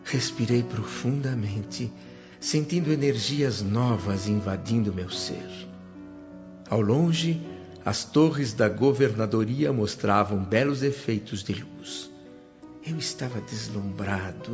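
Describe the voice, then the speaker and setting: A man's voice: medium pitch, animated, slow pace. An awestruck, curious newcomer to the spirit world, telling his tale in a radio drama. His Brazilian speech is earnest and reverent, with literary phrasing. The audio is dull, with music playing underneath. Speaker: narrator and lead actor of a radio drama. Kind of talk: dramatised first-person radio narration.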